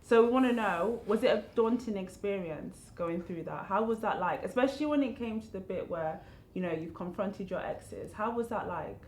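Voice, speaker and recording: A woman's voice: mid-range voice, animated, normal speed, hardly any pauses, a little mellow, reverberant, a little hiss or hum. A British woman hosting an interview, asking questions in a laughing, encouraging way. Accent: British English. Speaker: host. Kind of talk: interviewing guests.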